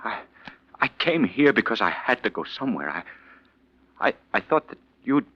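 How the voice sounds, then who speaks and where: A man's voice: fairly low pitch, sing-song, moderate pace, no room sound. A man playing a desperate, anxious professor in a 1940s radio drama, stammering and pleading. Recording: muffled, dry old radio recording.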